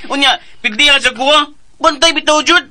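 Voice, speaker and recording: A man's voice: extremely high pitch, fairly quick, a crowd in the background. A man playing an eager, simple-minded, childlike young man in a radio drama, repetitive and pleading in his talk. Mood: eager, insistent.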